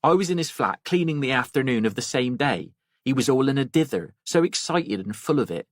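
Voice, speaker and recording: A man's voice: mid-range voice, lively intonation, fairly quick, dry recording, voice pushed up, natural voice. A man voicing a talkative, rambling working-class woman gossiping in an audiobook. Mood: fond, gossipy. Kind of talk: audiobook character voice.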